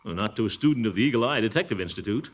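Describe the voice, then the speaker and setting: An actor playing a pompous, blustering middle-aged bachelor uncle, chuckling and wheedling in a 1940s radio comedy. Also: male voice, on the low side, animated, racing. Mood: flirty.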